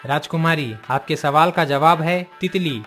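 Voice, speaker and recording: A man's voice: on the high side, unhurried, sharp tone, soft background music. A man voicing a polite, confident unmarried king courting a princess in a cartoon story, with a courteous manner. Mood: assured.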